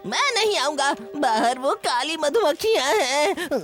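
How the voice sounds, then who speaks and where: A woman's voice: high-pitched, lively intonation, measured pace. A woman voicing a timid little boy creature in a cartoon dub, pleading and scared. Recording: loud music underneath.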